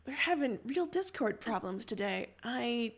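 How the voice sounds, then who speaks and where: A woman's voice: a little high, animated, measured pace. A woman hosting a livestream, moving between story narration and casual chat. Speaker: livestream story reader and host.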